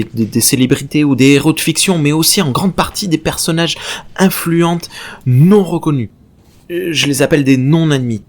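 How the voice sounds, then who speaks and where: A man's voice: a little high, lightly acted. A man playing an obsessive, long-winded researcher in a science fiction audio drama, speaking like an impassioned professor. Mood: agitated, impassioned. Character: obsessive, rambling male philosopher-researcher, devoted friend.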